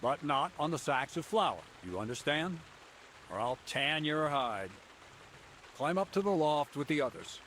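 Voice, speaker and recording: A gruff, mocking miller boss. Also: male voice, fairly high pitch, very animated, a little slow, fairly bright tone, slight background noise. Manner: dry, mocking, bossy. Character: gruff middle-aged male miller boss.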